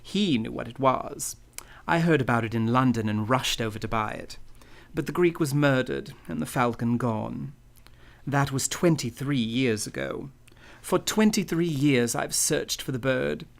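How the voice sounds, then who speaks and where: A man acts out a genteel crime boss from an old-time radio play. His mid-pitched voice carries a gravelly creak. He speaks in pompous, courtly, elaborate phrases, always scheming after treasure. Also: lightly acted.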